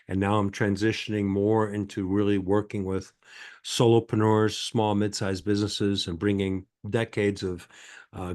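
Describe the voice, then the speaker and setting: Low-voiced older man, halting delivery. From born in France, grew up in Michigan, United States. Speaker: podcast guest, sales and marketing consultant. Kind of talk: conversational interview answers.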